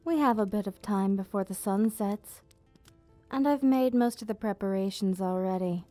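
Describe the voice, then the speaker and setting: Woman acting as nostalgic female vampire, ASMR storytelling, soft and mellow, medium pitch, gently formal, faint music behind. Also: no echo, natural voice. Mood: welcoming, gentle. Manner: gentle, unhurried explaining, slightly formal wording. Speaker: ASMR roleplay performer. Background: background music.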